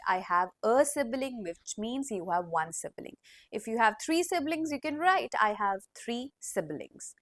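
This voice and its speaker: A woman teacher, slowly dictating model sentences in a classroom language lesson. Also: on the high side, fairly bright tone, dry recording.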